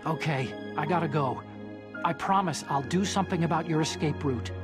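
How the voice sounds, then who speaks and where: An actor playing an earnest, excitable young American scientist ally in a video game. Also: male voice, fairly high pitch, moderate pace, over music, close to an everyday voice. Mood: earnest resolve. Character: nerdy earnest young male scientist ally, newly resolute. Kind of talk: dramatic video game radio-call acting.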